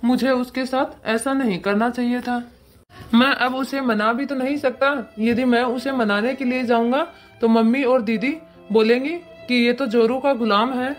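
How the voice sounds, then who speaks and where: A woman plays an ailing husband in a cartoon moral story. The voice is high and plaintive, full of earnest regret, with faint music behind it. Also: fairly even intonation, normal speed, close to an everyday voice. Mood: regretful. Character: remorseful adult son and husband, ailing, pleading.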